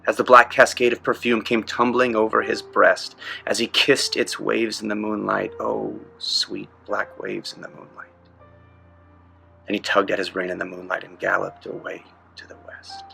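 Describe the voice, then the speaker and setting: Very gravelly man reading poetry. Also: on the low side, level tone, moderate pace, pushed and bright, soft background music. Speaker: poem reader.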